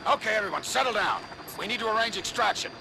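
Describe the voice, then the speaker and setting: A man acting a brisk, commanding senior army general in a military shooter game, giving clipped orders. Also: high voice, expressive. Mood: brisk, firm. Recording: clearly noisy, vehicle and outdoor game ambience.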